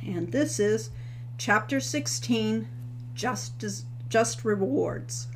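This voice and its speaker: Woman, fairly high voice, reading her own book aloud, webcam, casual asides, faint hum. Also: animated, takes it slowly. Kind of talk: audiobook read-aloud.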